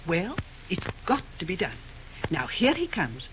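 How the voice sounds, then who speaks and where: A woman acting a brisk, motherly, middle-aged mother in an old-time radio drama, folksy and no-nonsense. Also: fairly low pitch, huge pitch swings, noisy background. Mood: advising. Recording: muffled old radio recording, crowd-like murmur.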